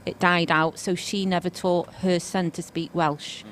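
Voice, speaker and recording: Woman with a really low voice, hesitant, faint noise around. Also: flat delivery, a little slow. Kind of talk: casual chat.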